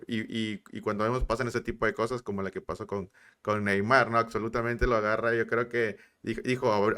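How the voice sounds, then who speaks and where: A man's voice: mid-range voice, pushed and bright, clean tone. A man hosting an interview, asking questions in a hesitant way full of fillers. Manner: hesitant, many fillers and restarts. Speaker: interviewer host.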